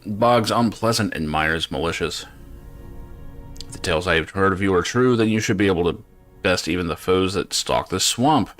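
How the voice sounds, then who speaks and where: Low-voiced man casually commentating a game. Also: a bit bright. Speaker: game streamer. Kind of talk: casual gameplay commentary, reading quest text aloud.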